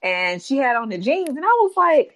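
A woman's voice: high voice, moderate pace. A woman co-hosting a podcast, telling stories playfully and acting out her reactions. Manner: animated, playful storyteller. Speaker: podcast co-host. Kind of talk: casual chat about wrestling.

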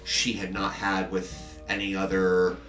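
A very deep-voiced man telling stories from his podcasting work, hesitant and full of fillers and restarts. He sounds roomy and set back from the mic, with faint music underneath. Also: monotone, a little slow, a bit bright, far from the mic. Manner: rambling, many fillers and restarts. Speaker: podcaster and journalist. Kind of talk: casual conversational interview.

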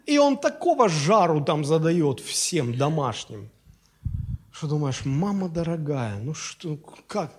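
A man's voice: fairly high pitch, sing-song, slow pace, slightly rough. A man preaching a Sunday sermon in Russian, in a conversational style with fillers and anecdotes, and his voice sounds strained. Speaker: pastor. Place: church.

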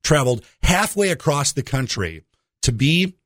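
A man's voice: medium pitch, sing-song, normal speed, a bit bright, a little hiss or hum. A man hosting a radio show with a jokey conversational monologue, putting rhetorical questions straight to the listener.

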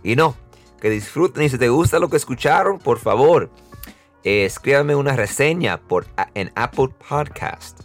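A man's voice: mid-range voice, lively intonation, normal speed, faint music behind. A young man with a mixed Dominican and American Spanish accent, hosting a podcast casually, laughing often and restarting words. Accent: Dominican and American-tinged Spanish. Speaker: podcast host. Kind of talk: casual interview and outro.

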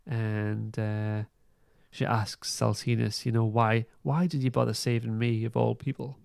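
A man hosting a podcast, giving a casual recap in an informal, halting way. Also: lowish voice, level tone, vocal fry, a little mellow, dry recording, clean studio sound. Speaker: podcast host. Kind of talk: casual plot recap and review.

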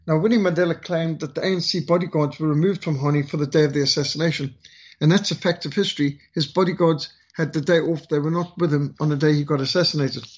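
Older Rhodesian-accented man, husky, fairly high voice, halting earnest storyteller. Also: fairly even intonation. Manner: earnest, halting with frequent fillers. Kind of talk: personal storytelling talk.

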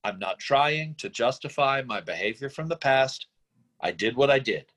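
A man's voice: medium pitch, expressive, fairly bright tone. Man acting an impassioned, self-justifying drifter dad. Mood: defensive. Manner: rambling, earnest speechifying with halting emphasis. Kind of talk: screenplay table-read acting.

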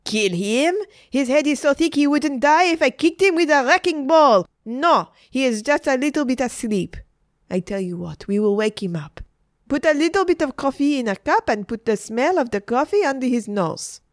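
A woman's voice: high-pitched, lively intonation, moderate pace, no room sound, studio quality, pitched above the performer's own voice. A woman voicing a fiery, bossy Frenchwoman in a story, scolding emphatically and scornful of silly men. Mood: dismissive.

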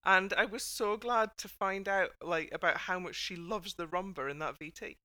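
Bright, crisp British woman hosting. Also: mid-range voice, normal speed, no room sound. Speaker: podcast host. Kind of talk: casual panel chat.

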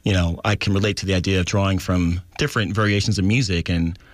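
Laid-back low-voiced male radio host. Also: fast talker, no echo. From Albuquerque, New Mexico, USA. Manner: easygoing, relaxed asides with "you know" and "like". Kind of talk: casual radio interview. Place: radio studio.

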